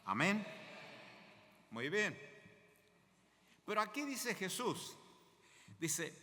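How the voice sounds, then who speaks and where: An older Argentine man preaches with a bright, forceful voice that rises and falls in lively swings. He talks to the congregation in a conversational way and often checks in with them through questions. His voice carries a long echo, as if it were recorded in a church hall. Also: high voice, very slow. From Mendoza, Argentina. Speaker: guest preacher. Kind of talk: sermon.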